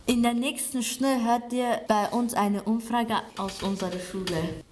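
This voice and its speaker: Female student presenter, young teen, highish voice, scripted radio hosting. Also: fairly even intonation, measured pace, a little mellow, slight background noise. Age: young teenager.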